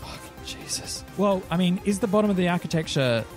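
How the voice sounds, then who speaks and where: A man's voice: sits high, lively intonation, fairly quick. A man playing a tabletop roleplaying game, chatting casually and swearing freely about his turn. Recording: music playing behind the voice. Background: background music.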